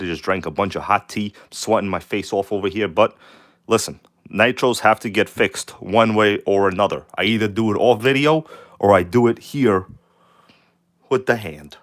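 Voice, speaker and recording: A man hosting a casual live stream, his voice hoarse from a cold, hyped and greeting viewers by name. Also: fairly low pitch, moderate pace, fairly bright tone. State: hoarse from a cold, nearly lost voice. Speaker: channel host. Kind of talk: live stream chat about RC cars.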